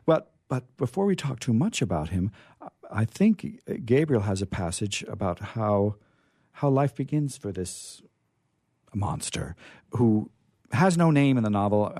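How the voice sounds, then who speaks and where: A man hosting a casual literary discussion, explaining things in a halting, hesitant way. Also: lowish voice, very animated, dark tone, no echo, studio quality. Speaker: host.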